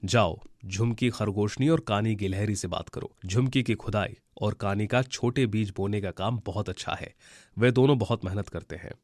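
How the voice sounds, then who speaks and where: Man playing a low-voiced wise old owl. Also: normal speed, darkish tone, no echo, natural voice. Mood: reassuring. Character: wise, kindly old male owl elder giving advice. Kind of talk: Hindi children's cartoon story acting.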